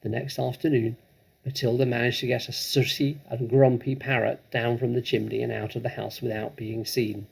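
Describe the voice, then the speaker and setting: Husky, creaky male storyteller. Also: medium pitch, normal speed, low-quality recording. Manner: expressive, dramatic. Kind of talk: scripted storybook narration.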